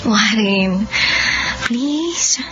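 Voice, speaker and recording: A woman's voice: mid-range voice, animated, very slow. A woman playing a tender, self-doubting young blind woman in love in a Filipino radio romance, with hesitant, emotional delivery. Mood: hesitant, serious. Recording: muffled radio drama audio under music.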